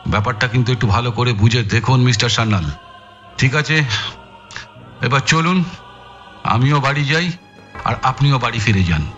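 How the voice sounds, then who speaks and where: A man playing a tired, irritable, sarcastic police detective in an audio thriller, gruff and condescending with weary sighs. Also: mid-range voice, normal speed, long pauses, a bit bright, muffled recording, soft background music, natural voice. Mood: condescending, dismissive. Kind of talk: Bengali audio thriller acting.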